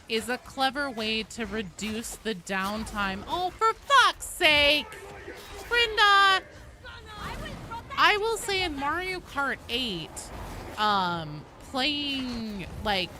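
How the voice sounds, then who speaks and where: A woman's voice: mid-range voice, noisy background. A woman game streamer chatting casually with viewers as she plays, blunt, sweary and given to dramatic emphasis, with game sounds behind her. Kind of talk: casual live gameplay commentary, chatting with viewers.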